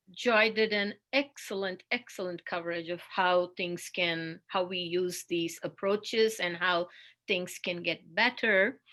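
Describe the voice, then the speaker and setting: A woman with an Indian accent giving a slow, deliberate, instructive webinar talk as a speech therapist over a video call. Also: medium pitch, a bit bright. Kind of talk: webinar presentation.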